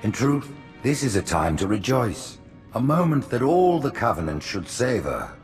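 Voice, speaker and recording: An actor playing a scheming alien high priest in a game, addressing his people in a measured, oratorical way. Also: sing-song, soft background music. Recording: game score behind.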